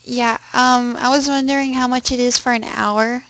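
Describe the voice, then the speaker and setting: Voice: mid-range voice, level tone, moderate pace, a bit bright, no room sound, low-quality recording. A young adult with a crude, sweary way of talking, chatting casually and making prank phone calls. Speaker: prank caller. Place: indoors at a computer.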